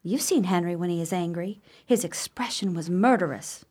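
A woman acting an unmarried, gossipy sister in an old-fashioned gothic radio drama, with a deliberate, formal and dramatic delivery. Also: lowish voice, darkish tone, natural voice. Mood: ominous.

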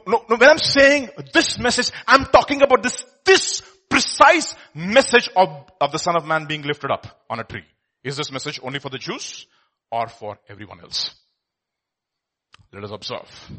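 Man preaching, emphatic, bright tone, lively pitch, loud bursts. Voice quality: says he has a loud voice. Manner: emphatic, swings from quiet to loud exclamations. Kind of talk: sermon.

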